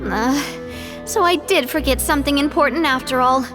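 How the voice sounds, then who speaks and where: An actress playing a lively, talkative young woman who leads a group, in a game scene. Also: sits high, moderate pace, lightly acted. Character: cheerful young woman gang boss. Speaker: leader of a group. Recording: game audio with music behind.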